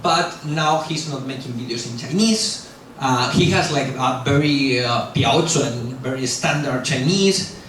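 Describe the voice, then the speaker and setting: A man's voice: mid-range voice, measured pace, fairly bright tone. A middle-aged man, not a native English speaker, giving a loose, rambling university talk full of fillers and self-corrections, blunt and self-mocking. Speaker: guest speaker at a university lecture. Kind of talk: casual lecture talk with Q&A. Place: large echoey lecture hall. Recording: very echoey hall, distant mic, faint hiss.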